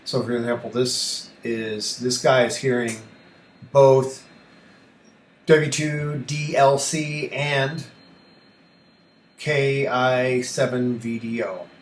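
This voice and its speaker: A man thinking aloud through a casual screen tutorial as a hobby radio host, halting and muttering to himself as he works. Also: mid-range voice, little pitch movement. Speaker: amateur radio YouTuber, host. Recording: echoey room with faint background noise.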